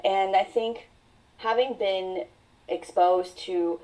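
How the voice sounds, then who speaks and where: A woman's voice: medium pitch, steady tone, a little mellow. A woman whose first language is Mandarin, telling her personal story as a podcast guest in an earnest, reflective way. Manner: earnest, reflective, drawn-out phrasing. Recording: voice sounds distant from the mic.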